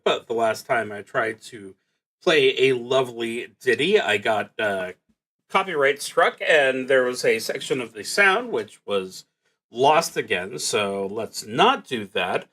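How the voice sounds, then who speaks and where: A man thinking aloud as he narrates a solo tabletop roleplaying game, in a halting, musing, wry way. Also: mid-range voice, fairly bright tone.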